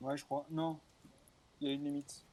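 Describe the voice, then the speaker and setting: Young male co-streamer, dark soft tone, crude jokey banter, faint hiss and keyboard behind. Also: on the high side, level tone, normal speed. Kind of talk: casual gaming chat.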